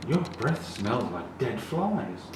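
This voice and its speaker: A man voicing a brisk, stern female animal doctor in a storybook, giving commands and instructions. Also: mid-range voice, sing-song. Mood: instructing. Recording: very echoey, distant, noisy room.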